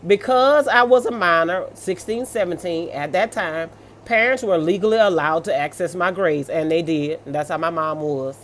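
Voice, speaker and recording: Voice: mid-range voice, moderate pace, fairly bright tone, a little hiss or hum. Sassy American commentator, blunt and colloquial. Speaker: host reading and reacting to online stories. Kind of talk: reading stories aloud with animated off-the-cuff commentary.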